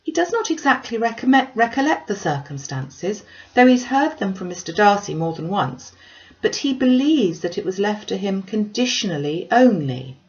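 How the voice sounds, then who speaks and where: A woman voicing a gentle, earnest young gentlewoman in an audiobook, polite and measured. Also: mid-range voice, very animated, dull audio. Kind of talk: audiobook character dialogue.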